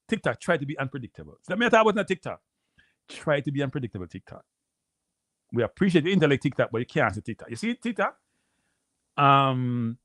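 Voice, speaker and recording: A Jamaican man hosting a live stream, rambling casually to his viewers and repeating himself, with a deep voice. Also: a bit bright, no echo, studio quality. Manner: rambling, repeats phrases, addresses viewers as people. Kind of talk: casual livestream chat.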